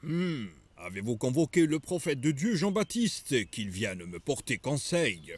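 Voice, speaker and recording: An imperious cartoon king. He speaks slowly with big swings in pitch, haughty and used to being obeyed. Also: big pitch swings, breathy edge, dry recording. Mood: haughty, indignant. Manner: haughty, commanding. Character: imperious, entitled king defying religious law. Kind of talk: animated religious drama acting.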